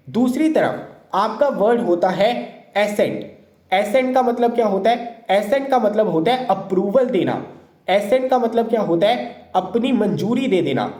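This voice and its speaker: A man's voice: high-pitched, dark tone. Man teaching, echoey room. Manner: repeats questions then answers them. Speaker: English teacher for exam prep. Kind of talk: explanatory lesson lecture. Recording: roomy echo.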